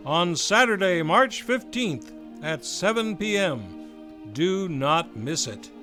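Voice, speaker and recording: High-pitched male radio announcer over music. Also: sing-song, takes it slowly. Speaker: radio series host and announcer. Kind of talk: scripted closing credits announcement, old-time radio show manner. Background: live improvised music.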